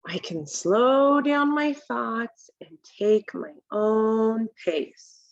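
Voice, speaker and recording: Slow, higher-pitched woman reading to children. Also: vocal fry, highs cut off. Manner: deliberate, instructional, asks the listener questions. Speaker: read-aloud presenter for children. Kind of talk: children's picture book read-aloud with commentary. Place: home kitchen, indoors.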